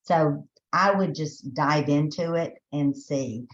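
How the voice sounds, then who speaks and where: Low-voiced woman with a Southern accent. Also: slow pace, roomy sound, muffled recording. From Texas. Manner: chatty, thinks aloud with restarts and fillers. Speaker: presenter. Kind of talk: webinar training for teachers.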